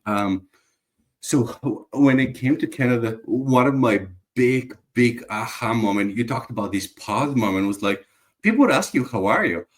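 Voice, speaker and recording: A man's voice: mid-range voice, animated, moderate pace. Earnest male guest from Bulgaria. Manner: reflective, earnest, stumbling restarts. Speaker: guest entrepreneur. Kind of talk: casual conversational interview.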